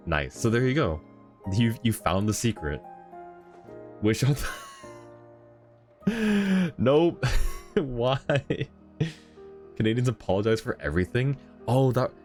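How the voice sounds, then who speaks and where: A man streaming and chatting casually with viewers, laughing often in an easygoing, rambling way. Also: fairly low pitch.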